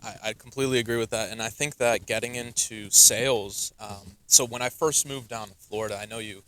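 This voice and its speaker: A man's voice: lowish voice, brisk. A young man, a podcast guest with Russian as his first language, talking earnestly and casually with many "you know"s. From United States. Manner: earnest, animated, frequent fillers like "you know". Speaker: podcast guest, musician and entrepreneur. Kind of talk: casual interview talk.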